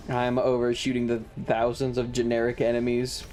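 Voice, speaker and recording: A man's voice: mid-range voice, monotone, normal speed, darkish tone. A man casually commentating a video game on a livestream and chatting with viewers, sweary and dramatically exasperated. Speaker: streamer. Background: video game music.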